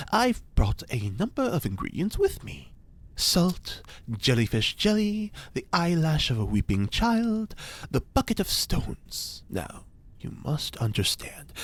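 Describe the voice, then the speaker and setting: A man voicing an eccentric occult scientist who lectures with grand, theatrical self-importance. His pitch leaps and dives all over the place, and his tone carries a slightly husky edge. It fits an audio fiction drama. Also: full character voice. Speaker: reporter's informant.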